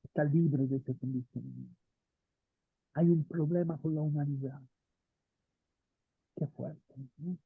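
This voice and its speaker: Husky-voiced male theater teacher, tinny line. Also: a little high, steady tone, measured pace, long pauses, no room sound. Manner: thinks aloud, asks students questions, frequent "¿no?". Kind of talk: lecture and class discussion.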